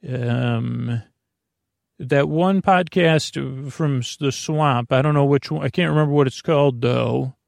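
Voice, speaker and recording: A man with a creaky, gravelly voice hosting a sleep podcast in a meandering bedtime monologue, halting, absent-minded and self-deprecating. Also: mid-range voice, darkish tone, dry recording.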